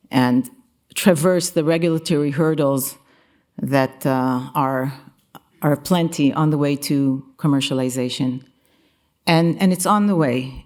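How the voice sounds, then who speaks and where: Female executive, low-pitched, delivering formal symposium remarks in a measured, slightly halting way. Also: darkish tone. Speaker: company chief executive giving opening remarks. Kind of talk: prepared conference speech. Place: conference venue in a museum.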